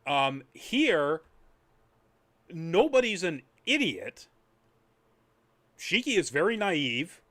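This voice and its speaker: A man's voice: on the high side, very animated, very slow, pauses often, a bit bright. Rambling man chatting casually. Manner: rambling, trailing off into asides. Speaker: podcast host reviewing anime. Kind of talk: casual chat review.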